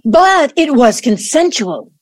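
A sarcastic, impatient grown daughter in an audio play , acted by a woman. Her high voice swings widely in pitch and sounds hostile, in a dry close recording. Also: sing-song, slow, no room sound, heavily acted.

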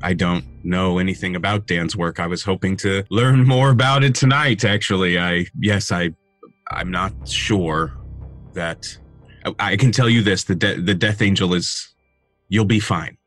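Man as older gentleman, tabletop roleplay, low pitch, nervous, apologetic, evasive, soft music behind. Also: a little slow. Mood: flustered, apologetic. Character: anxious, apologetic, world-weary older gentleman.

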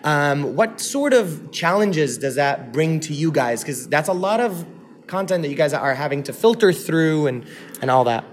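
A man hosting a casual interview, forming his questions haltingly and repeating words as he goes. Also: fairly high pitch, lively intonation, a little hiss or hum. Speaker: interviewer, host.

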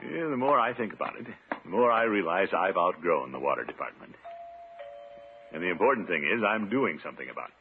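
Brief: pompous middle-aged blowhard official, very animated delivery, theatrical 1950s radio comedy, muffled noisy old recording. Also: male voice, sits low, a little fast, faint music behind. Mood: smug. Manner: blustery, self-satisfied, theatrical, chuckling. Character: pompous, self-important middle-aged uncle and small-town official.

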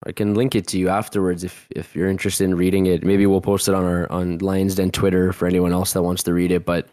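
A man's voice: sits low, little pitch movement, quick pace, mellow, no room sound, studio quality. A man in his twenties, a guest telling a personal story in a casual interview, rambling with lots of restarts. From Canada. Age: 20s. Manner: rambling, heavy use of "like", frequent restarts.

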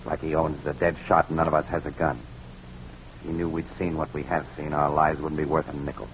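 An actor playing a tough, wisecracking newspaper photographer, brisk and slangy, in a 1940s radio crime drama. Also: male voice, rumbling low, little pitch movement. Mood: tense. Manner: brisk, hard-boiled, slangy. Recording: muffled, noisy old radio recording.

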